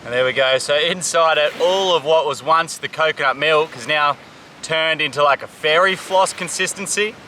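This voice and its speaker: A young man from Samoa hosts a survival vlog, talking in an upbeat, enthusiastic way with a bright, ringing voice pitched a little high. He is recorded outdoors on a tropical beach, with faint surf noise behind him. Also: moderate pace. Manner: upbeat, enthusiastic tour-guide talk. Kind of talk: casual outdoor explainer.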